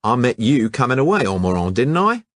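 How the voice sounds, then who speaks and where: A man's voice: medium pitch, big pitch swings, a little fast, no echo, clean studio sound, voice pushed up, natural voice. A man voicing a brash young male Australian art student with broad colloquial slang and an Australian accent. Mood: eager.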